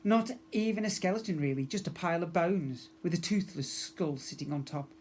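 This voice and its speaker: A man reading a children's story aloud in an expressive narrating style, as a teacher would for young pupils. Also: a little high, a little fast, slight background noise.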